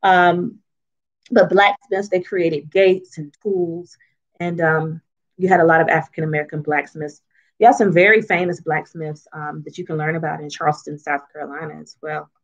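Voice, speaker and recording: A woman reads a picture book aloud to children as its author, in an expressive, teacherly way, pausing to explain and ask questions. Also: deep voice, muffled recording. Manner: teacherly, asks questions and checks in often.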